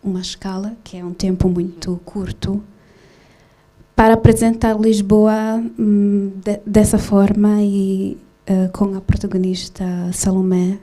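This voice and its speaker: A woman who grew up in Switzerland talks about her film at a festival session. She speaks slowly and haltingly, with many fillers and restarts. Her voice is mellow and fairly flat. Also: mid-range voice. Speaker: film director. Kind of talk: festival Q&A talk.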